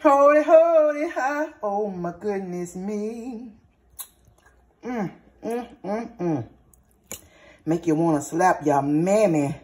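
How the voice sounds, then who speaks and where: A hoarse woman chatting through a cooking vlog, addressing viewers as y'all and savoring bites with lots of hums. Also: medium pitch, lively intonation, takes it slowly, pauses often, darkish tone. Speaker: cooking vlogger. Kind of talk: casual cooking vlog with tasting. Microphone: phone or camera mic, recording alone in a kitchen.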